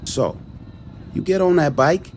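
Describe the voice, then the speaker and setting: An actor playing a pushy motorbike race promoter in a video game cutscene, punchy, commanding and colloquial. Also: male voice, a little high, a little slow, a little mellow, natural voice. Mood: urgent, commanding. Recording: clear vehicle noise behind.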